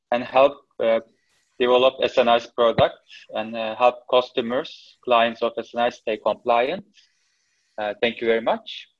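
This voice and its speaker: A man introducing himself as a researcher on tax regulations, speaking hesitantly with fillers. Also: medium pitch. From Turkey. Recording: slightly dull lo-fi audio.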